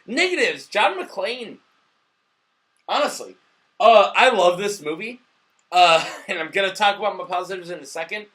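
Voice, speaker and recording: Male movie-review co-host, drunk and profane, high forceful voice, casual rambling delivery. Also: animated, normal speed. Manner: boisterous, profane. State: drunk in the later part.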